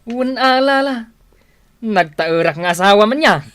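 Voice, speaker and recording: Cocky, carefree young gym-proud husband, lively swooping delivery, radio comedy drama. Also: female voice, fairly high pitch, measured pace. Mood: cheerful. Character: boastful, carefree muscle-bound young husband.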